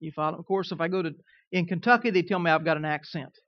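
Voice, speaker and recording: A man's voice: fairly high pitch, fast. A man in his fifties with a Southern twang, preaching a sermon in a conversational way and often checking that his listeners are following. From Kansas. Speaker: pastor. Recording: dull, dry recording.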